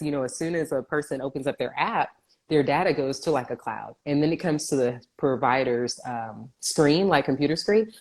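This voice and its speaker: A woman's voice: sits low, darkish tone. A woman physical therapist talking earnestly as a guest in a casual live video interview. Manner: earnest, explanatory. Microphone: video call.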